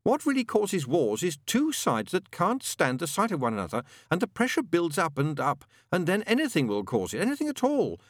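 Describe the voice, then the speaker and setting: A man voicing a weary, sardonic demon in an audiobook, explaining things with flat, exasperated gloom. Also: on the high side, moderate pace, hardly any pauses, dry recording, clean studio sound, pitched above the performer's own voice. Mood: gloomy, exasperated. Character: weary, fatalistic male demon, sardonic adult. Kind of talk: audiobook character dialogue.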